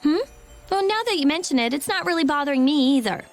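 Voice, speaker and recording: A high, lively teenage girl scholar in a fantasy game. She is brisk, blunt and curious, with swinging intonation. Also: soft background music. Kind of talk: English game dub voice acting.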